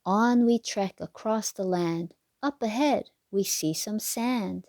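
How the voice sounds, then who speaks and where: A woman reading a children's picture book aloud with expressive, deliberate phrasing. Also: mid-range voice, no echo. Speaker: read-aloud narrator for children.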